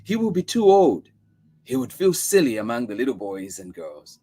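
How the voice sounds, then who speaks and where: A man voicing an anxious adoptive mother, in a high strained voice while reading a story aloud. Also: very animated, normal speed, mellow, husky. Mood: pleading. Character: anxious adoptive mother, woman, pleading then stern.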